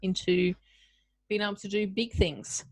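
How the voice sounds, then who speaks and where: A woman's voice: mid-range voice, level tone, a little slow, no room sound, rough recording. A middle-aged woman hosting a podcast interview, talking in a reflective, conversational way. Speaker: podcast host.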